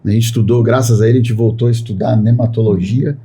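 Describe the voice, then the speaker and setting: A man's voice: mid-range voice, fairly even intonation, fast talker, warm tone, breathy edge. A man hosting a podcast, speaking in long rambling sentences that he keeps tagging with filler questions. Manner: rambling, constant "né" tag fillers. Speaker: podcast host. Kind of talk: podcast conversation.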